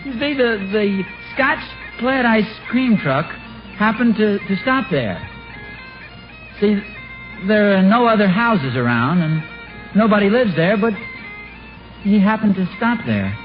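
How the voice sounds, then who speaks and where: Flustered, high-pitched radio sitcom dad. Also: male voice, expressive, unhurried, long pauses. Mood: unconvinced. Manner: stammering, exasperated. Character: flustered, excitable suburban father and husband, easily spooked. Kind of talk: 1940s radio sitcom acting. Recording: muffled old radio broadcast, music behind.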